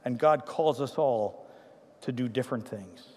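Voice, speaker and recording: A man with a Trinidadian accent, a pastor preaching a sermon with humorous stories that give way to quiet, earnest appeals. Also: mid-range voice, a little slow. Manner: animated anecdotes, then quiet earnest appeals. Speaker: pastor to seniors. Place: church sanctuary. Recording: long hall reverb.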